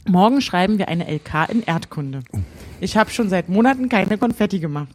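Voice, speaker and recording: A woman's voice: mid-range voice, expressive, moderate pace, darkish tone. A woman co-hosting a casual chat show. She reads from her old diary, hesitating with many fillers and laughing often. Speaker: podcast co-host.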